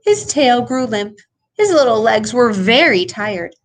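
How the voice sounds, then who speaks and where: A woman reading a children's picture book aloud in an expressive way, with dramatic emphasis. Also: fairly high pitch, measured pace. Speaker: storybook reader. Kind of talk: animated children's read-aloud.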